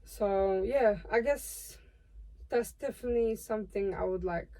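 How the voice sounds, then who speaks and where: A woman's voice: medium pitch, unhurried, low-quality recording. Young woman, casual and chatty. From Portugal. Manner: relaxed, filler-heavy talk with many "like"s. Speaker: guest singer. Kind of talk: casual podcast chat.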